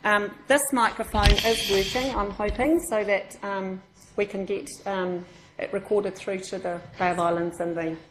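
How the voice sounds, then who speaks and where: A woman's voice: mid-range voice, fairly even intonation, normal speed, fairly bright tone, slight background noise. A woman with a New Zealand accent welcoming a meeting in an informal, chatty way, with many ums and restarts. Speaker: education session organiser. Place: meeting room with a group. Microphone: far room microphone. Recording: echoey room.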